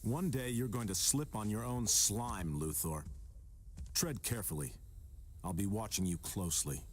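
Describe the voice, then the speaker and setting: An actor playing a confident, upright superhero in a video game, with a steady, wry, stern manner. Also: male voice, on the low side, moderate pace, slight background noise.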